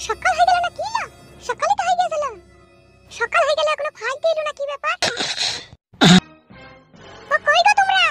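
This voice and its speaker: Woman acting a very shrill cartoon housewife. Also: brisk, long pauses, over music. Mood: worried. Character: nagging village housewife, shrill and pleading.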